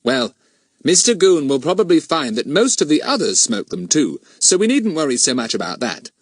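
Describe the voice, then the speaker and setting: Man doing a confident, bossy schoolboy, raised pitch. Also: very animated, moderate pace, dry recording, studio quality. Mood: thoughtful. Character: confident, bossy, self-pleased schoolboy leader.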